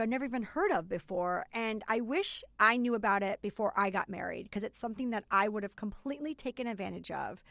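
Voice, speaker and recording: A woman's voice: on the high side, fairly bright tone, no echo. A woman in her forties hosting a wedding podcast, chatty and enthusiastic, speaking close to the mic. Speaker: podcast host and wedding photographer.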